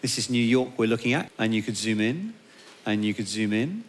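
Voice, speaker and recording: A man presenting live on stage, addressing the audience directly in an animated way. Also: lowish voice, a little fast. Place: theatre stage.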